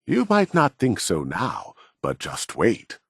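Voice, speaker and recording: An actor playing a proud, middle-aged robotics scientist in a video game, speaking in a measured, formal and condescending way with a husky voice. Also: male voice, lowish voice, huge pitch swings, dry recording, studio quality, exaggerated voice. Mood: proud, persuasive. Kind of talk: scripted video game dialogue.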